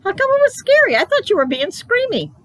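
Woman as a curious toy elephant, wildly swooping pitch. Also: very animated, a little fast, a bit bright, full character voice. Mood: questioning. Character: fussy, curious male stuffed toy elephant.

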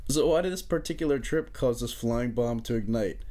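A man's voice: mid-range voice, fairly quick, warm tone. A young American man narrating an explainer in a casual, joking way, with informal wry asides. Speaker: narrator of an amateur history explainer video.

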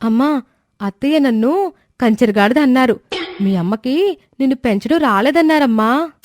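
A woman voicing a touchy, whining young daughter-in-law who tattles, in an animated moral story. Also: high-pitched, normal speed, no room sound, clean studio sound. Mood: tattling. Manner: whining, complaining.

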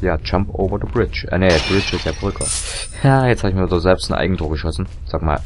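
A young man with a very deep, slightly hoarse voice chats his way through a video game. He rambles, corrects himself and fills gaps with ums and chuckles. A faint hiss sits behind his desk mic. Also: brisk. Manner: rambling, chatty, self-correcting with frequent fillers and laughs. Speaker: let's play commentator. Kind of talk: casual gameplay commentary.

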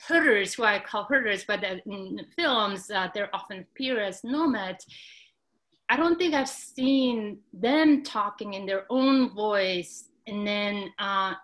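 Slow, halting young woman academic. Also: on the high side, fairly bright tone, poor audio. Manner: thoughtful, halting, many fillers and restarts. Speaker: panelist, academic. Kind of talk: panel discussion remarks. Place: home study with bookshelves. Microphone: webcam mic on video call.

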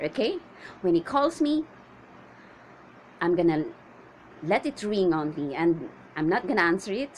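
A woman performing a comedic monologue as a needy, chatty woman waiting on a suitor, with theatrical exasperation and drawn-out questions. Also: medium pitch, a little hiss or hum, low-quality recording. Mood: stubborn.

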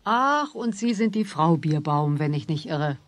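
A woman's voice: low voice, animated, unhurried. An older woman playing a homely, slightly ailing, polite grandmother in a children's radio play.

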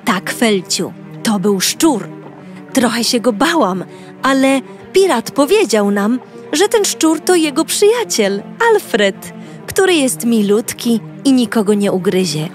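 Polish-accented woman, first-person kids' story voice, slightly high, slow, soft music under. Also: sing-song. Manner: narrating as a cheerful young girl in first person. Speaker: audio storyteller. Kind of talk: children's audio story narration.

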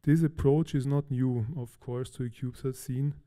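Man from austria, warm mellow voice, conference speaker, relaxed and hesitant, stage mic in a big hall. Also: mid-range voice, normal speed. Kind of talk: technical conference talk.

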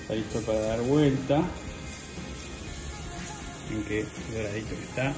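A man in his thirties with an Argentine accent, hosting a cooking show and calmly talking viewers through each step as he works. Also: on the low side, moderate pace, loud music behind the voice. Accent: Argentine Spanish. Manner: talks viewers through steps while working with his hands. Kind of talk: casual cooking demonstration. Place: outdoor grill patio.

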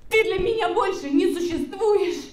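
A woman's voice: extremely high pitch, normal speed, a little hiss or hum. An actress playing a heartbroken woman in a screen drama, tearful and reproachful. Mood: distraught. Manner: emotional, reproachful. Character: heartbroken, distraught woman rejecting a man. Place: furnished room indoors.

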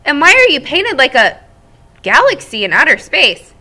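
A cheerful, chatty little egg fellow who enjoys his quiet time, voiced by a woman reading a storybook aloud. The voice sits high and bright, swinging up and down with lively storybook energy. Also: moderate pace, heavily acted. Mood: searching. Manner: bouncy, expressive storytelling.

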